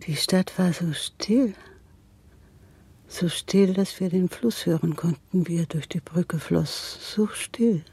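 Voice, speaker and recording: A haunted washerwoman in a radio drama. Her voice is dark and breathy with some creak. She speaks slowly in a hushed, eerie, lingering tone. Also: fairly low pitch, no echo, exaggerated voice. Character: dead washerwoman recalling a silent, emptied city. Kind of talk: radio play monologue.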